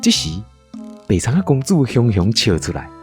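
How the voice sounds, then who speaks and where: A man's voice: medium pitch, big pitch swings, normal speed, faint music behind. A man with a Taiwanese accent hosting and narrating a scripted children's story in radio-drama style. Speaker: storyteller and show host.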